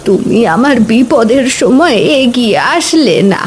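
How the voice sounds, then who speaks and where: A woman playing an aggrieved, pleading old village woman in a cartoon story, with a drawn-out lamenting manner. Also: fairly high pitch, expressive, a little mellow, slight background noise. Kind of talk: Bengali cartoon story dubbing.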